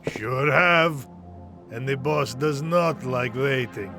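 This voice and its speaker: A man's voice: medium pitch, music in the background, close to an everyday voice. A man playing a loyal, brutish hired henchman in an audio drama, taunting and mockingly friendly. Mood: menacing. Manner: taunting, deliberate threats.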